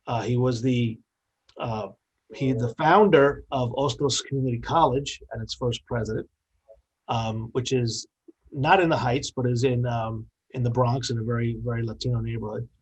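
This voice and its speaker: Measured, mature male interview guest, medium pitch. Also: a little mellow, slightly rough, poor audio. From born in the Dominican Republic, grew up in New York. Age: middle-aged or older. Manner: measured, articulate, explanatory. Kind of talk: conversational storytelling in an interview.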